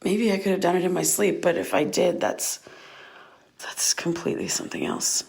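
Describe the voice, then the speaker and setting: Sleepless, anxious young woman, audio drama diary. Low register, naturalistic, hesitant and rambling delivery. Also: moderate pace, gravelly, darkish tone, husky. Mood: shaken, rambling. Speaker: lead actor in a fiction podcast.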